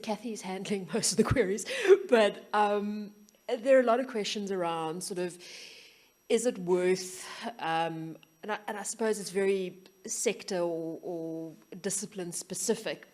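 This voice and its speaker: A woman's voice: mid-range voice, darkish tone. Woman running a panel q&a, mid voice, polite, bit hesitant. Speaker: event moderator. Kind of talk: moderating a panel.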